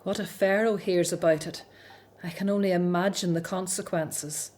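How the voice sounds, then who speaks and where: A woman playing a worried, doubting Hebrew prophetess in a scripted radio drama, speaking gravely and in measured phrases. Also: fairly low pitch, steady tone, a little mellow, lightly acted.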